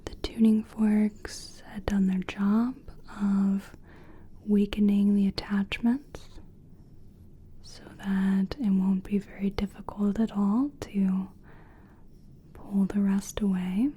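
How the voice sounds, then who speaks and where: Slow, breathy whispering woman, ASMR. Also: medium pitch, flat delivery. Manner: soothing, gentle, deliberate. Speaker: ASMR creator playing a soul-cleansing practitioner. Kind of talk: soft-spoken ASMR personal attention roleplay.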